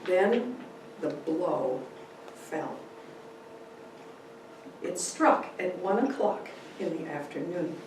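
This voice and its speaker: A woman giving a dramatic reading of a memoir, telling it in a deliberate, theatrical way with pauses for effect. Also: fairly low pitch, expressive, a little mellow. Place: large echoey indoor room. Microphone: distant camera microphone. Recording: very echoey room, distant, faint noise.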